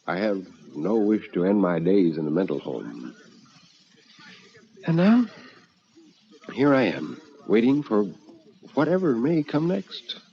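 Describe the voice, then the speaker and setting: An actor playing an elderly, anxious but philosophical man, narrating in a deliberate, literary manner for radio drama. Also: fairly low pitch, very animated, creaky, slight background noise, heavily acted. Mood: reflective, resigned. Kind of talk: old-time radio dramatic reading. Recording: muffled vintage radio recording with music.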